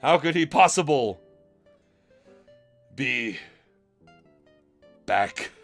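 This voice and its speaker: A man voicing a questioning cartoon princess in a measured, incredulous manner for a story reading. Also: mid-range voice, animated, a bit bright, faint music behind, voice pushed down.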